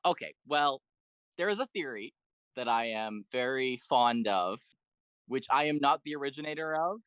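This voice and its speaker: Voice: medium pitch, a bit bright, smooth, dry recording. A podcast guest talking through fan theories in an enthusiastic, explanatory way and in detail. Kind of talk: enthusiastic fan lore discussion.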